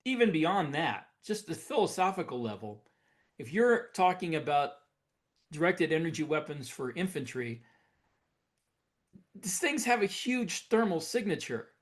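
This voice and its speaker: A man's voice: a little high, big pitch swings, a little slow. A man co-hosting a podcast, talking casually as a science fiction writer in an unscripted discussion. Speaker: podcast co-host and military sci-fi writer.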